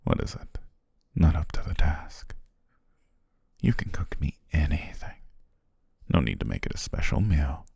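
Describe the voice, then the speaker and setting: Man voicing a very deep, gravelly demon king. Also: sing-song, a little slow, pauses often, no room sound, studio quality, heavily acted. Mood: playful, gracious. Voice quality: very deep, husky, gravelly, often breathy near whisper. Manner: leisurely, genteel, archly formal with soft chuckles. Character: courteous, self-amused demon king, horned adult male ruler. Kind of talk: ASMR roleplay monologue.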